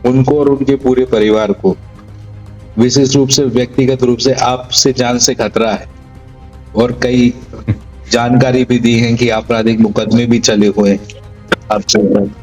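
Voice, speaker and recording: A man's voice: medium pitch, little pitch movement, a little slow, darkish tone, soft background music. A man working as a news reporter, putting questions to an interviewee. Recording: dull, band-limited sound.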